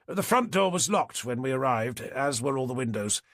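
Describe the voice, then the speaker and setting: A man's voice: medium pitch, lively intonation, normal speed, a bit bright, no room sound, studio quality, natural voice. A man voicing a fussy, exasperated police doctor in an audiobook, with a British accent. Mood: matter-of-fact.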